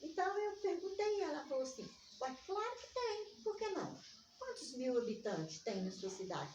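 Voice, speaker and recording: An older woman with a Minas Gerais accent, telling personal stories in conversation and acting out remembered dialogue in an animated way. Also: high voice, normal speed. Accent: Brazilian Portuguese from Minas Gerais. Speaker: interviewee, community organizer. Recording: echoey room, distant mic, dull, faint noise.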